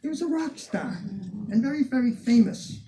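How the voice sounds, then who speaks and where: An older man, a museum curator, telling animated anecdotes to a small audience. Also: very high-pitched, moderate pace, a little hiss or hum. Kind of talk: storytelling talk to an audience.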